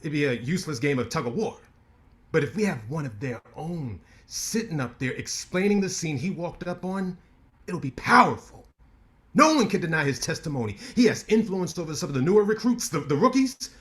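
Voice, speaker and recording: An actor playing a brash, ambitious lawyer who talks with cocky sarcasm in a staged play reading. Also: male voice, on the high side, big pitch swings, brisk, a bit bright.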